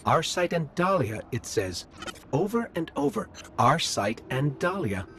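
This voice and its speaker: A man's voice: fairly high pitch, very animated, a little slow, a bit bright, a little hiss or hum, exaggerated voice. A man voicing a small floating robot companion in a video game, curious and dry, musing aloud with wry asides. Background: game music.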